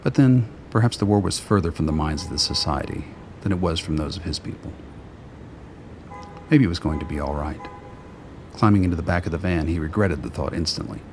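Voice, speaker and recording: A man's voice: low-pitched, brisk, noisy background. A man giving scripted narration for a radio play.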